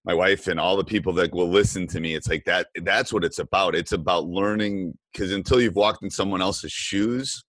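A man's voice: low-pitched, moderate pace, few pauses, fairly bright tone, dry recording. A middle-aged man hosting a podcast, chatting casually in a rambling, enthusiastic way. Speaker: podcast host and basketball coach. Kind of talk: casual podcast interview chat.

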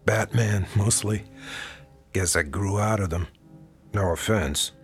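Dogged, wry police detective. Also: male voice, fairly low pitch, takes it slowly, faint music behind. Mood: folksy probing. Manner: folksy, probing, casually insinuating. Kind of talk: radio drama acting.

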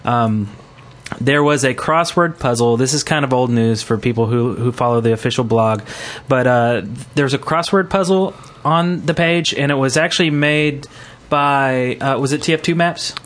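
A man's voice: mid-range voice, moderate pace, fairly bright tone. A man hosting a gaming podcast in a casual, chatty style. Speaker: podcast host.

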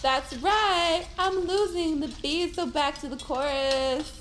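High-voiced, playful young woman. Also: slow, a bit bright, slight background noise, rough recording. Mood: playful singing. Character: playful, wry young woman, monster-hunting friend. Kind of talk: audio drama acting.

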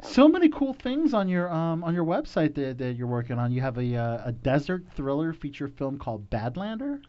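Enthusiastic male interviewer, lively pitch. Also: normal speed. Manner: enthusiastic, laughs easily. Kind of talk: casual interview. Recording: dull lo-fi sound.

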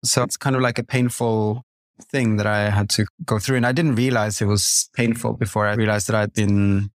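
A man from Norway, a guest designer, gives a personal interview answer in a thoughtful, halting way. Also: on the low side, steady tone, dry recording.

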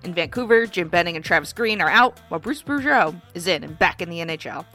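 A woman's voice: on the low side, expressive, fast, pushed and bright, soft background music. A woman co-hosting a casual hockey podcast, congested and stuffy, chatting with plenty of fillers. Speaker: podcast co-host. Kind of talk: casual sports podcast chat.

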